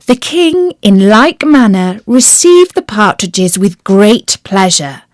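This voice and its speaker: Slow, expressive woman storyteller. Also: medium pitch, dry recording, studio quality. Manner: expressive, deliberate phrasing. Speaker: storyteller reading a fairy tale. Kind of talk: scripted children's storybook narration.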